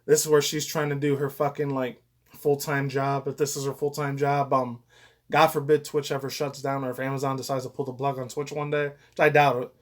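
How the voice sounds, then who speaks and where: A man's voice: medium pitch, flat delivery, a little fast. A young man giving casual, off-the-cuff reaction commentary in a rambling way full of crude slang and swearing.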